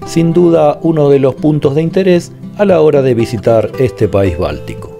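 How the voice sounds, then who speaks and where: Dark-toned male voice-over narrator. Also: fairly high pitch, expressive, moderate pace, gravelly, faint music behind.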